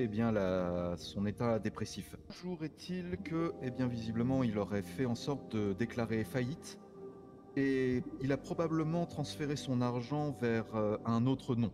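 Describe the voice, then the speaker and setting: A man playing a methodical, tactful investigator in an improvised video game roleplay, speaking formally and haltingly. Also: mid-range voice, natural voice. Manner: measured, halting, formal phrasing. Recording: music over the voice. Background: music.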